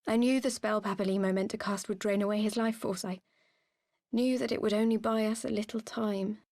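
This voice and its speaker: A woman acting a grieving woman in her twenties in a video game cutscene, speaking in an earnest, halting confession. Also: medium pitch, fairly even intonation, darkish tone, no room sound, clean studio sound, natural voice. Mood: mournful, resigned. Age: 20s. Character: grieving young woman revealing her painful past.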